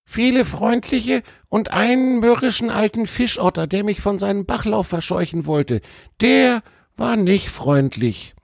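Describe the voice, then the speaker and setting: A man voicing a cheerful, chatty little male woodpecker for a children's storybook, in a creaky, squeezed voice with bubbly, colloquial delivery. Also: sits high, expressive, a little slow, dry recording, studio quality, voice pushed up, heavily acted. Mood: cheerful, explaining.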